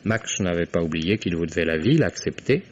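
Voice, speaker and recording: A man's voice: low voice, expressive, a little fast. An actor playing a young male investigator in an old French radio mystery, formal, methodical and pointedly accusing. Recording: dull, noisy vintage radio recording.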